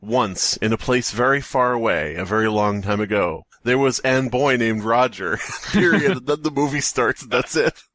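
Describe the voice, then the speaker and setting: A man's voice: medium pitch, moderate pace, a bit bright, no room sound. A man co-hosting a podcast with casual comedic banter. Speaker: podcast co-host.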